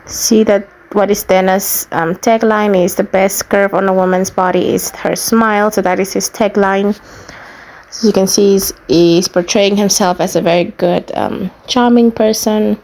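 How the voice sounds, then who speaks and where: Namibian woman with a creaky voice. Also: medium pitch, fairly even intonation, normal speed, darkish tone, rough recording. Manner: plain-spoken, explanatory, step by step. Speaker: YouTuber giving a how-to tutorial. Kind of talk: informal screen walkthrough, casual instruction.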